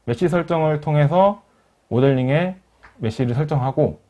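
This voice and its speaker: A man narrating a software tutorial as a webinar instructor, explaining each step in a polite, formal way. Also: fairly high pitch, normal speed. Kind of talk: software tutorial narration over screen demo. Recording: dull lo-fi voice recording.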